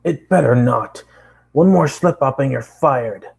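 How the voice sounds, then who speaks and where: A man's voice: mid-range voice, a little slow, vocal fry, husky, voice pushed up, full character voice. A man voicing an angry, bullying middle-aged male store boss in a blustering, barking way for a story reading. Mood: threatening.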